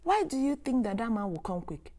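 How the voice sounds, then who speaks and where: Animated Nigerian Igbo woman speaking emphatically. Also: on the high side, fairly quick. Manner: emphatic, opinionated, rhetorical questions. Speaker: host, sex educator. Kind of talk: live Q and A talk to online audience.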